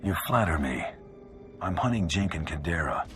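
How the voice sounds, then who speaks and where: An actor playing a gruff, cocky male bounty hunter champion in a video game, terse and dry. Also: expressive, moderate pace, music in the background. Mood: businesslike. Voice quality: very deep voice.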